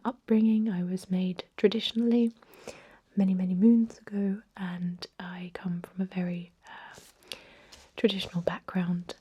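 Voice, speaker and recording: Woman acting a whispering, mellow ancient vampire doctor. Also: medium pitch, no room sound. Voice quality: soft breathy whisper. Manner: hesitant, gently confiding, unhurried. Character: ancient female vampire emergency-room doctor, proper, disapproving, caring. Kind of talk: ASMR roleplay.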